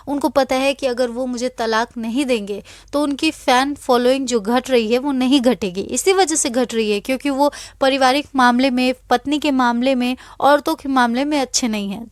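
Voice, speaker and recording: A woman's voice: a little high, no room sound, studio quality. A woman reading scripted celebrity news as an anchor, in an emphatic, dramatic storytelling way.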